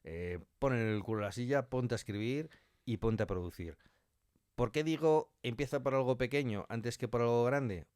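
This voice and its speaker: A man's voice: medium pitch, normal speed, a little mellow, smooth, no room sound, studio quality. A man talking as a podcast guest about his creative work, emphatic and fond of acting out little quotes. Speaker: podcast guest, audio fiction writer and director. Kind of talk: animated interview conversation.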